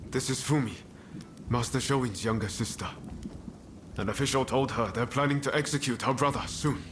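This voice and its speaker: An actor plays a rebel comrade in a game drama. His mid-range voice is earnest and directive, with clear ambience in the background. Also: mid-range voice, normal speed, noisy background, lightly acted. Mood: alarmed. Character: earnest, urgent male rebel comrade taking charge. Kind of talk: video game cutscene acting.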